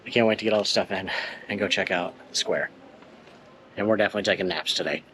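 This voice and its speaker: A man's voice: deep voice, quick pace. A man talking casually as he films a family travel vlog. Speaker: travel vlogger, father. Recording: noisy handheld vlog, street and ambient noise.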